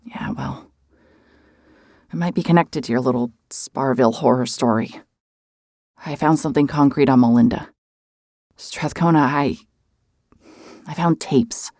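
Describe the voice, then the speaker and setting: Very deep female voice, close dry sound, guarded private investigator, shaken yet sardonic, horror audio drama. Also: unhurried, long pauses, darkish tone, dry recording. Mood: uneasy. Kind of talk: cinematic mystery horror audio drama, narration and dialogue.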